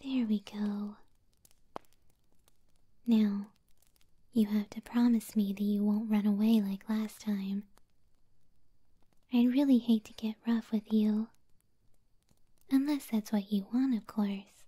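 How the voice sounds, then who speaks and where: A doting, possessive monster moth girlfriend murmurs in an intimate ASMR roleplay, acted out by a woman. Her whisper is very mellow and full of breath, sweet and cooing. It sits close to the microphone in a dry, echoless space. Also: medium pitch, fairly even intonation, slow pace, long pauses, slightly rough. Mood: soothing, then sweetly menacing.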